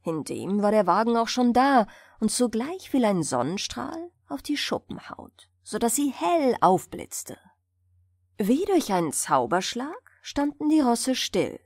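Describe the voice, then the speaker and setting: A woman narrating a fairy tale audiobook as a bedtime story, expressive and clearly enunciated. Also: a little high, unhurried, no echo, studio quality.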